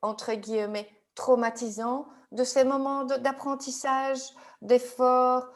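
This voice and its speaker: A woman with a notably high voice leads a tapping therapy session. She asks calm, patient questions one after another, drawing out her client's fears. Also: slow pace. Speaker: EFT practitioner.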